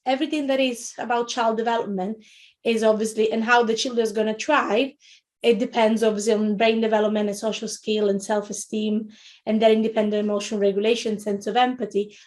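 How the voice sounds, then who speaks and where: Higher-pitched Italian-accented woman presenting. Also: level tone, fairly quick, darkish tone. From Italy. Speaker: webinar presenter, early years educator. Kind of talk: informal educational webinar talk.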